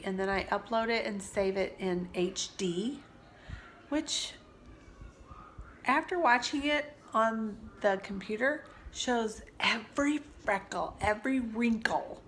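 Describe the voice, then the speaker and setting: A woman in her forties, stuffed up from allergies, talking softly and confidingly in a personal vlog, sometimes dropping to near-whispers. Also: a little high, a little hiss or hum. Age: 40s. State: congested from allergies. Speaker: vlogger. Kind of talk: reflective personal vlog talk. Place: sitting indoors at home.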